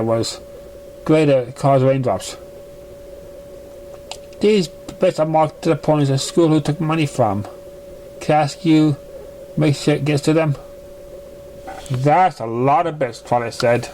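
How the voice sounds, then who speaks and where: Guy reading fanfic out loud, kinda stumbly, relaxed dark-ish tone, some noise behind him. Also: on the high side, low-quality recording. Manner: stumbling, halting reading with misread words. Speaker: amateur fan fiction reader. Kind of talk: reading a story aloud. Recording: noticeable background noise, amateur recording.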